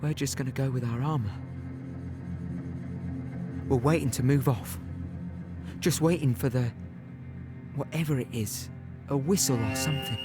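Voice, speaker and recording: An actor playing a young wounded British infantryman, plainly and haltingly telling his story in an audio play. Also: medium pitch, music in the background, lightly acted. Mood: matter-of-fact. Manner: conversational, halting, present-tense storytelling. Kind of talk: first-person dramatic monologue in audio play.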